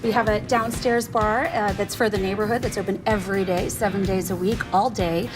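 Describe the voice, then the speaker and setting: A woman's voice: medium pitch, fairly even intonation, a little fast. A woman speaking as a guest sommelier and champagne educator, explaining and demonstrating wine on television. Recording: music laid over TV clips.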